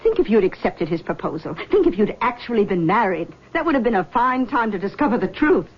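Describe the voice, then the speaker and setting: A woman playing a doting, controlling middle-aged mother in a 1970s radio drama, with a husky voice and a coaxing manner. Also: mid-range voice, big pitch swings, brisk. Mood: sly. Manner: coaxing, cooing endearments. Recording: dull, lo-fi 1970s radio recording.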